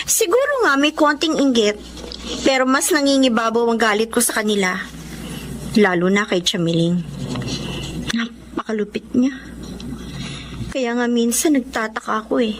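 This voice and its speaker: An actress playing a hardworking, bitter young domestic worker abroad in an emotive Tagalog radio drama. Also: female voice, a little high, lively intonation, measured pace, pauses often, background noise. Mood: bitter, resentful. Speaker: drama actor.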